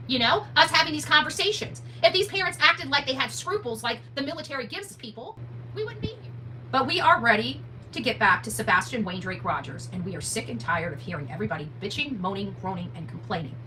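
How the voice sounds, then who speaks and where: High, forceful, combative woman livestreamer. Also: lively intonation, fairly quick. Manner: blunt, combative, emphatic, rhetorical questions. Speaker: livestream host, advocate commentator. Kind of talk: fired-up livestream commentary addressed to viewers. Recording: distant mic, faint hum noise.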